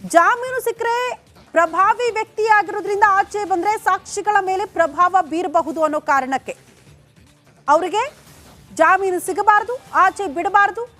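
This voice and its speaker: A woman's voice: very high voice, fairly bright tone. A woman anchoring TV news in an emphatic, questioning style. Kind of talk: TV news presenting. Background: music under the voice.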